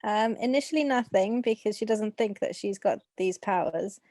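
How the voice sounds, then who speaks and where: Mellow young South African woman. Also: medium pitch, moderate pace, no room sound. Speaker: author being interviewed. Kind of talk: casual interview talk.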